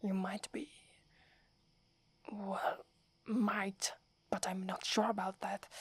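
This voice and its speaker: Proud tsundere werewolf girl, whispered by an Italian woman: breathy, slow, close-mic ASMR. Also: medium pitch, exaggerated voice. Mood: scornful, teasing. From Italy. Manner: haughty but stammers when flustered.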